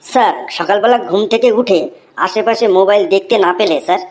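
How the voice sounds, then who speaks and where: A woman's voice: mid-range voice, quick pace, darkish tone, lightly acted. A woman voicing an earnest, cheeky Bengali schoolboy in a comedy cartoon, deferential and reciting like a pupil. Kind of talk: comic cartoon voice acting. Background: music.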